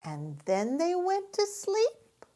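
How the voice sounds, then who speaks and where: A woman voicing a gentle storybook mother pig who soothes and tires as she tells bedtime tales. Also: very high voice, wildly swooping pitch, slow pace, pitched above the performer's own voice, full character voice. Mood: surprised, drowsy.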